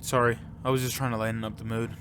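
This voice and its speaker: An actor playing a joking young male squadmate who tries to calm the group in an audio drama. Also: medium pitch, fast talker, a little hiss or hum, close to an everyday voice. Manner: light, joking, calming. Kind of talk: audio fiction acting.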